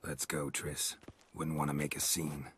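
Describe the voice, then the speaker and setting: A gruff monster hunter for a fantasy game. His voice sits extremely low, and he speaks in terse, deadpan lines with dry understatement. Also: measured pace, a little hiss or hum. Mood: curt. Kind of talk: video game character acting.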